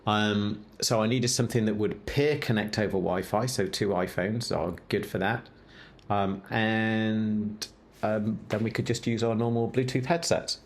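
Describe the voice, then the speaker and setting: A man's voice: lowish voice, steady tone, unhurried. A man talking casually to camera in a vlog, with faint ambient noise behind him. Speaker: vlogger, rock climber.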